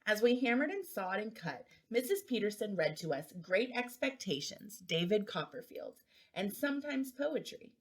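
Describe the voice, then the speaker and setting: A woman reads a children's story aloud. Her mid-pitched voice moves in very lively swings as she narrates. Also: moderate pace, husky. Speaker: school administrator reading aloud.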